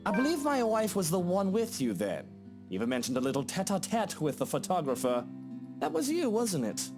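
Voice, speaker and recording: A woman's voice: lowish voice, huge pitch swings, brisk, music in the background. A woman voicing an irate, haughty upper-class man, speaking in a pompous, curt and condescending way for a visual novel. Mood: suspicious, irritated. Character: irate, haughty, sharp-witted British upper-class husband.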